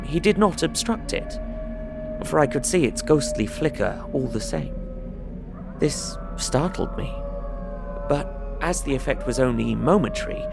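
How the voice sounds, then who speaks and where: Anxious gentleman traveller for audio drama: mid-pitched, creaky, literary, building suspense over a music bed. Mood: fearful wonder. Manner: measured, literary, suspenseful storytelling. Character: Jonathan Harker, anxious traveller recounting a frightening journey. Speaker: narrating lead character. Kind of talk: dramatic first-person audio drama narration.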